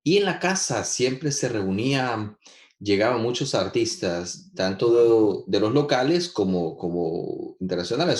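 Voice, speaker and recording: A man from El Salvador, answering interview questions in a halting way, with many restarts and fillers. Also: lowish voice, darkish tone, roomy sound. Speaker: guest composer.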